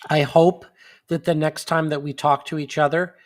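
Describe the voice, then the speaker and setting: A man's voice: on the high side, level tone, normal speed. A man hosting a monthly live stream in casual chat, sniffly, rambling with fillers and restarts.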